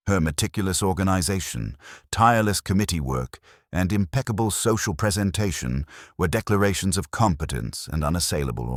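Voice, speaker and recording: A man narrating a scripted mystery story in a measured, formal storytelling manner. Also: low-pitched, no echo, clean studio sound.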